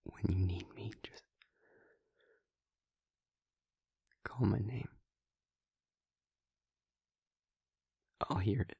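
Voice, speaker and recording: A man voicing a tender, remorseful demon lover in an intimate audio roleplay, speaking haltingly and gently in a breathy, creaky whisper. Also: fairly low pitch, steady tone, no echo. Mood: resigned, sad. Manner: halting, gentle, long pauses.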